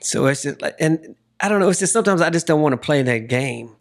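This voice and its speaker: A man's voice: fairly high pitch, animated, quick pace, vocal fry. A man in his forties hosting a casual interview, circling toward his point with repeated phrases. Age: 40s. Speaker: podcast host interviewing a guest.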